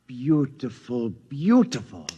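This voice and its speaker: An actor playing a bumbling, deferential American president in old-time radio style, hesitant, stammering and apologetic. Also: male voice, mid-range voice, big pitch swings, takes it slowly, dark tone, breathy edge, muffled recording, full character voice. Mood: wistful, apologetic.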